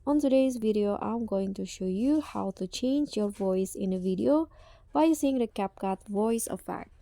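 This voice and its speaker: A woman's voice: medium pitch, normal speed, vocal fry, dark tone, no echo. A woman hosting a tutorial, giving casual step-by-step narration. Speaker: tutorial host.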